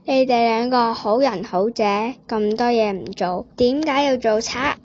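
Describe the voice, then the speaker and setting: A woman playing a benevolent, forgiving queen in a stage play, speaking with measured authority. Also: fairly high pitch, darkish tone, highs cut off. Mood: gentle reproach.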